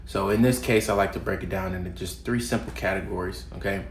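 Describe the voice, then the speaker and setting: A low-voiced young man with a drawl talks straight to camera. His nose sounds clogged from a recent cold, and he keeps it loose, with lots of ums and false starts. The room is echoey and has a faint hum behind him. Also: fast. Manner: relaxed, loose with fillers and restarts. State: congested from a cold. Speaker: fitness vlogger. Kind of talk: casual talking-to-camera advice. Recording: roomy home recording, faint ambience.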